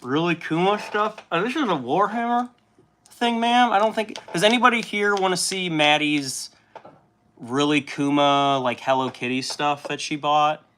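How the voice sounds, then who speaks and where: A young man hosting a casual livestream while painting miniatures, chatty and at times loud and impassioned. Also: a little high.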